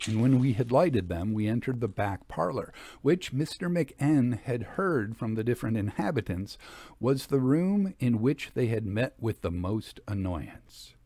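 Slow, expressive male ghost story narrator. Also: mid-range voice, no room sound. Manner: suspenseful, deliberate, theatrical. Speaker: narrator, actor and author.

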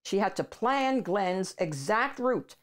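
An older woman reads a picture book aloud as a host for children, with deliberate, emphatic phrasing. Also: mid-range voice, lively intonation. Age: older, 60s or above. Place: small room at home with bookshelves.

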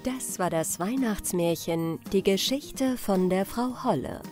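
A woman narrating a bedtime fairy-tale audiobook in a careful, expressive storytelling manner. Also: medium pitch, a little slow, faint music behind.

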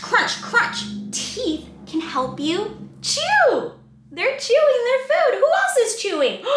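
A woman's voice: on the high side, gravelly, a bit bright, a little hiss or hum. A young adult woman reads aloud interactively to small children, with exaggerated expression and questions put to the listeners. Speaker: children's read-aloud host. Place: small indoor room at a desk. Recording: roomy indoor echo.

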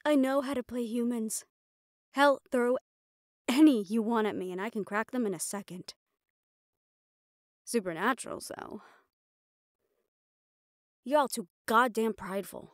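A woman's voice: on the high side, unhurried, pauses often, dry recording, studio quality, lightly acted. A woman playing a mafia boss's proud, frustrated personal assistant in an intimate roleplay audio drama, with an exasperated, self-reproachful manner. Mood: exasperated, reluctant.

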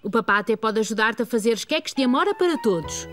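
A woman's voice: fairly high pitch, lively intonation, fast, a bit bright, soft background music. A woman playing a kindly, patient young mother in a children's cartoon, with a European Portuguese accent.

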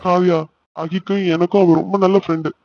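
A man's voice: on the high side, steady tone, quick pace, dull audio, music in the background, natural voice. A man playing a protective, emotional young older brother in a family drama, earnest and insistent. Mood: tearful, hurt. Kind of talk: melodramatic family audio drama.

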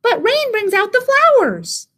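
Tiny storybook mouse: eager, cheery, squeaky, pitched way up. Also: female voice, huge pitch swings, measured pace, a bit bright, exaggerated voice.

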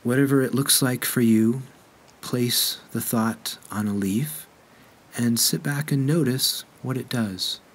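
A man leading a guided meditation in a gentle, unhurried way with measured pauses. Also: mid-range voice, breathy edge.

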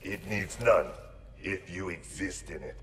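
A man playing a grim, menacing warrior in a video game, speaking in a hushed, guarded way. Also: low-pitched, a little slow. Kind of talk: video game character dialogue. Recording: long reverb, noisy effects ambience.

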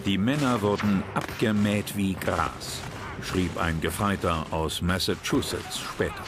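A man with a low voice delivers scripted narration for a history documentary. Music plays clearly underneath him. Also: a little slow.

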